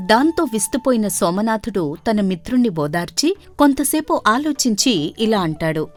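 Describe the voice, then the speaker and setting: A woman's voice: medium pitch, lively intonation, moderate pace, faint music behind. A woman narrating a scripted folk story as its storyteller.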